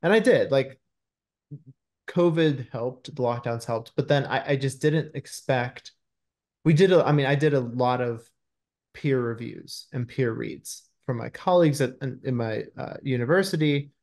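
A man's voice: medium pitch, measured pace, a little mellow. A man in his thirties, a screenwriter answering interview questions conversationally over a video call from a room at home.

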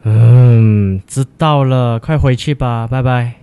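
A man's voice: medium pitch, slow pace, phone-quality sound. A man playing a stressed, impatient young student who is curt with his girlfriend in a radio drama.

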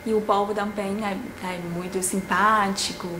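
A young woman from China speaks with a Chinese accent, her first language being Mandarin. She talks in a halting way, pausing on "ah" as she hunts for words. Her voice sits at an ordinary middle pitch, recorded in a roomy office with clear background ambience. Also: level tone, noisy background. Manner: halting, many "ah" pauses while searching for words. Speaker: graduate student and language teacher. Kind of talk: interview answers to camera. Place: indoor office room with a globe and plants.

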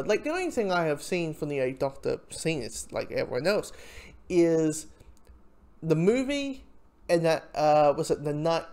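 A man giving an informal spoken review, talking in a rambling, halting way with frequent asides. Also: a little high, creaky, a little mellow. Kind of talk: informal audio drama review commentary.

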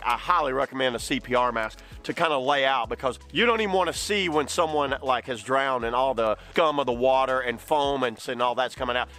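A man's voice: mid-range voice, fairly bright tone. A middle-aged man giving a casual, rambling product review, full of fillers like "you know" and "kinda". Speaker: outdoor gear reviewer.

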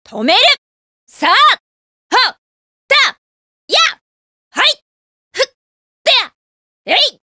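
A woman's voice: very high-pitched, very slow, long pauses, no echo, studio quality, exaggerated voice. A woman playing a spirited, determined young female martial artist in a video game, calling out punchy battle lines. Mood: energetic exertion. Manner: energetic, punchy shouts and short battle cries.

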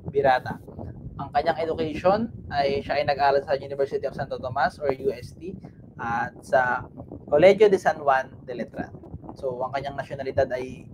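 A young man with a Filipino accent giving a class report on a video call, talking casually with many fillers and tag questions. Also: mid-range voice. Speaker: student presenter. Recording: video call audio with background noise.

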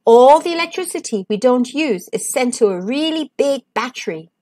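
Storybook sunbeam, woman performing: high, lively, friendly, eager explainer. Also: normal speed. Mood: cheerful, eager. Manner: bright, emphatic explaining to a child. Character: cheerful talking male sunbeam, keen friendly explainer. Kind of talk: children's storybook read-aloud.

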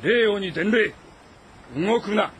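An actor playing a proud, embittered ageing warlord with haughty, brooding lordly speech in an audio drama. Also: male voice, high voice, slow pace, a bit bright. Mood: commanding. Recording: music behind the voice.

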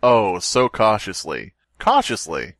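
Animated, theatrical man reading aloud. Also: lowish voice, slow pace, creaky, no echo. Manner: theatrical, jokey, swears casually. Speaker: podcast host reading a story aloud. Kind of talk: dramatic story reading mixed with joking banter.

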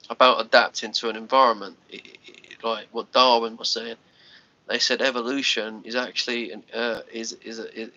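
Scottish man, 30s, stuffed-up livestream host, bright forceful voice, rambling casual chat, dull phone audio. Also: mid-range voice, no room sound. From Scotland. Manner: rambling, restarts words, chats to commenters. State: congested. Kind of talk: casual live chat with viewers. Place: small bedroom, lying on a sofa. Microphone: phone held at arm's length.

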